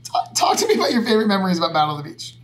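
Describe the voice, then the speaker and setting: A man's voice: high voice, huge pitch swings, racing, a bit bright. A man in his early thirties hosting a podcast, easygoing and casual, swearing now and then. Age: 30s. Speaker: podcast host.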